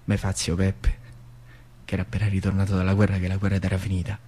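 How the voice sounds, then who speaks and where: A man's voice: low voice, completely flat delivery, fast talker, warm tone, breathy edge. An actor playing a plain-spoken old factory worker with a central Italian Lazio dialect, telling his memories emphatically in a stage monologue. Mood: reminiscing. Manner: emphatic storytelling with repeated phrases. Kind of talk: theatrical monologue.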